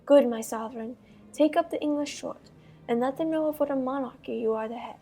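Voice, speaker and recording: A woman playing a proud, confident young French prince in measured, haughty Shakespearean radio theater. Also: high-pitched, warm tone, husky. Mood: haughty, urging.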